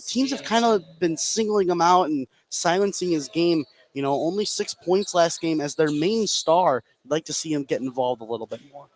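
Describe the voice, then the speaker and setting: A man's voice: fairly high pitch, animated, normal speed, few pauses. A man giving conversational color commentary and analysis during a live basketball broadcast. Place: indoor basketball gym, courtside broadcast. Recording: gym crowd behind the voice. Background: crowd noise.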